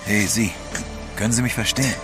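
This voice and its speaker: An actor playing a wary, gruff seafarer in a radio drama. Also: male voice, fairly low pitch, fairly even intonation, a little fast, over loud music, lightly acted. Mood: urgent.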